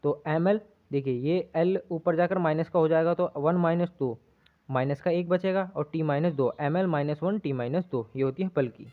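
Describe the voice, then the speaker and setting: A man's voice: a little high, moderate pace. A man giving an exam-prep lesson as a physics teacher, explaining step by step, repeating himself and checking in often. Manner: repetitive, checks in often with tag questions. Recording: muffled, narrow lo-fi sound.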